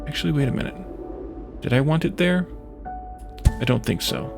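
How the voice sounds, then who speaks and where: A man's voice: on the high side, moderate pace, gravelly, over music. A man giving casual let's play commentary, thinking aloud in a hesitant, self-questioning way.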